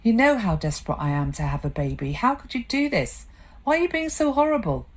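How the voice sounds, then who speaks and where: A woman's voice: big pitch swings, brisk. A woman voicing a young wife desperate for a baby, who speaks in a pleading, raised voice. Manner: pleading, pitched high.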